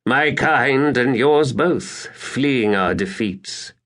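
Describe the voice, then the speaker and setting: A man's voice: medium pitch, expressive, very slow, fairly bright tone, voice pushed up, exaggerated voice. A man voicing a victorious, silver-tongued warrior commander in a fantasy audiobook, speaking in a grand, formal, declamatory way. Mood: reassuring, urging. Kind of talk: dramatic audiobook character reading.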